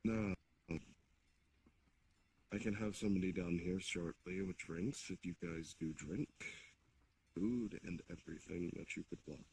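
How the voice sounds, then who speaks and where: Deep voiced guy roleplaying a menacing but hospitable demon king, talks formal, some noise behind him. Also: measured pace, vocal fry, long pauses, dark tone, rough recording. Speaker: roleplayer leading an improvised scene. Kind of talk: in-character improvised roleplay. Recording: noticeable background noise on mic.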